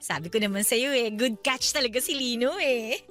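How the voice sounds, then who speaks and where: An actress playing a chirpy, boastful matchmaking friend in a radio drama, teasing and emphatic, with a Filipino accent. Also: female voice, on the high side, moderate pace, fairly bright tone, soft background music, close to an everyday voice. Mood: encouraging, proud.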